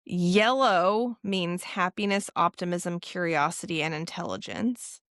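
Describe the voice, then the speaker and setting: A young woman co-hosting a podcast, telling a story in an animated, casual way with laughs. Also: fairly low pitch, unhurried, no room sound, clean studio sound. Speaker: podcast co-host. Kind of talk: casual chat.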